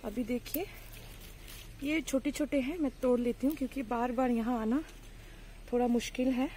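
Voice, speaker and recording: A young woman with a mellow, slightly high voice walks through her vegetable garden and talks to viewers as friends. She chats casually with many fillers and sounds bothered by the heat, with faint noise behind her on a handheld phone recording outdoors. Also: steady tone, moderate pace. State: hot and bothered by sun and mosquitoes. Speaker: vlogger. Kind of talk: casual garden tour vlog. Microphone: handheld phone camera.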